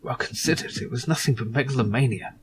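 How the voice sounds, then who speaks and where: A man's voice: medium pitch, fast talker, slight background noise, natural voice. A man voicing an earnest, terse young man, the grave hero of an adventure game. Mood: bitter accusation. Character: earnest, bitter young man confronting his father. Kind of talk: hobby voice acting of adventure game dialogue.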